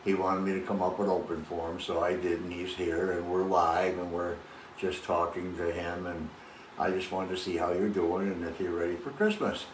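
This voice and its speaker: A man's voice: deep voice, steady tone, normal speed. A man hosting in a casual, conversational style while talking with someone on the phone. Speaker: host, house caretaker making a call. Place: outdoors on a front porch. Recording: echoey, distant, faint noise.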